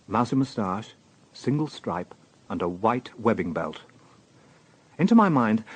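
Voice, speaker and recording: An actor playing a male police inspector in a radio drama, measured, questioning and dryly humorous, both in dialogue and in narration. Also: fairly low pitch, husky. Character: male police inspector, probing, wry, unhurried. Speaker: detective and narrator.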